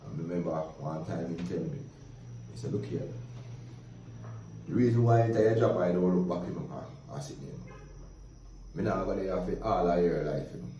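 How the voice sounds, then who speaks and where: A man with a Jamaican accent telling lively, laughing stories in a casual chat. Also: low-pitched, normal speed, long pauses, a little hiss or hum. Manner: lively, laughing, animated storytelling in patois. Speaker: guest storyteller. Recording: roomy echo from a distance.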